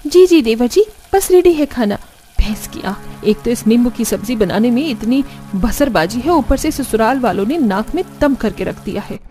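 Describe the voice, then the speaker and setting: Young cartoon daughter-in-law played by a woman, high mellow voice, put-upon, polite on the surface, grumbles constantly. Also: animated, moderate pace, faint music behind, natural voice. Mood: eager, polite, then irritated grumbling. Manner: complaining, muttering to herself, polite to elders. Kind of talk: Hindi cartoon story acting.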